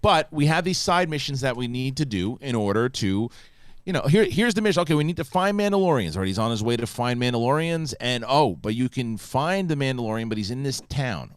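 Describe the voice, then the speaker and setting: Man, lively swinging pitch, dry close mic, casual chat host, restarts a lot. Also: fairly quick, no room sound. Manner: animated, talks with many restarts. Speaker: podcast host.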